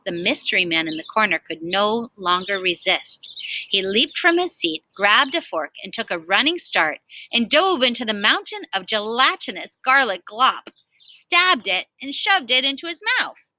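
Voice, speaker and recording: A woman's voice: medium pitch, sing-song, normal speed, pushed and bright, poor audio. A woman reading a children's storybook aloud expressively, like a teacher, with emphatic stresses and chatty asides.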